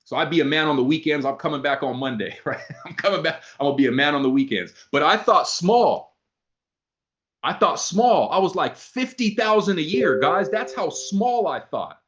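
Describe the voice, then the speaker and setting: Lively male motivational speaker, lo-fi sound. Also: fairly high pitch, normal speed. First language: not English. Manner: animated, acts out both sides of conversations. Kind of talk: motivational talk with storytelling. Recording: dull lo-fi sound.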